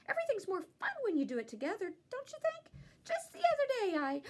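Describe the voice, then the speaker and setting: A woman's voice: extremely high pitch, wildly swooping pitch, quick pace, a little mellow, voice pushed up, exaggerated voice. A woman voicing a friendly, eager female dog in a children's storybook, sweet, earnest and chatty. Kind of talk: children's storybook character reading.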